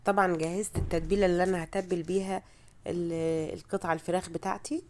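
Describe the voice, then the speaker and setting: A woman's voice: on the low side, fairly even intonation, fast, a little hiss or hum. A woman with an Egyptian Arabic accent gives a casual, chatty, self-deprecating recipe voice-over as a home cook, her voice altered by a cold. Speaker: home cooking vlogger.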